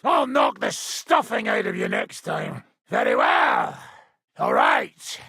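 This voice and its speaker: Gravelly loudmouth medieval sparring coach for a game, taunting, pitched high and swinging all over. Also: male voice, wildly swooping pitch, slow, no echo, clean studio sound, heavily acted. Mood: boisterous, teasing. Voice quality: raspy, gravelly. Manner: gruff, taunting, boisterous. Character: brash hard-drinking male medieval fighter and combat trainer. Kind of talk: video game voice lines.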